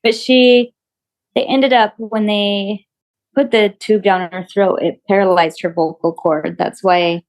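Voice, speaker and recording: A middle-aged woman, a mother and interview guest, telling events in a steady, casual way and filling in details. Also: medium pitch, a little slow, low-quality recording. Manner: steady, fills in details gently. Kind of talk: casual storytelling.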